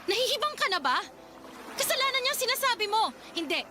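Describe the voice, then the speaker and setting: Young pregnant woman, very high voice, pleading radio-drama delivery, hissy recording. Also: sing-song, quick pace. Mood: indignant. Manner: emotional, pleading, tearful at times. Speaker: voice actor.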